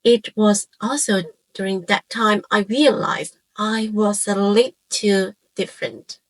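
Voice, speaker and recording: A woman in her forties from Hong Kong, a native Cantonese speaker with a Hong Kong accent, narrates a scripted, reflective piece in measured phrases with short pauses. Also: mid-range voice, fairly even intonation, rough recording. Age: 40s. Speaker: YouTube channel creator and host.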